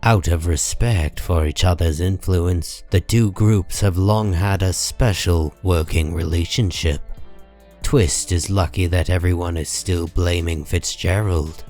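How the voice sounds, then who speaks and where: Deep, slow-speaking male narrator. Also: fairly even intonation, mellow, soft background music. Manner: dramatic, deliberate storytelling. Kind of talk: scripted comic book story narration.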